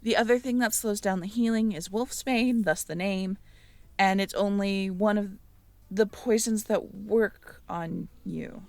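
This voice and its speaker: A woman's voice: medium pitch, a little slow, gravelly, a little mellow, no room sound. A woman in an intimate audio roleplay, musing aloud as she reads through notes and checks back with the listener.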